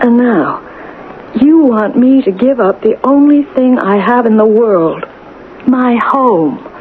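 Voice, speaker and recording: High-voiced, slow, wounded woman. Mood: wounded, defiant. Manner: deliberate, indignant, dramatic. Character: wounded, lonely, defiant small-town woman. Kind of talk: old-time radio drama acting. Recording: dull, hissy vintage radio recording.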